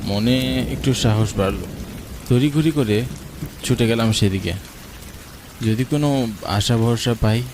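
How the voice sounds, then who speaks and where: A man's voice: medium pitch, measured pace, long pauses. A man reading a scripted first-person story as its narrator. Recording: clearly noisy, rain and thunder behind. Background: rain and thunder sound effects.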